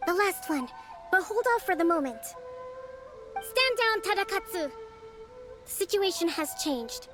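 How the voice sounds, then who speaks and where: A woman voicing a young female island leader in a video game, speaking in a measured, diplomatic and firm way. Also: very high-pitched, expressive, over music. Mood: commanding.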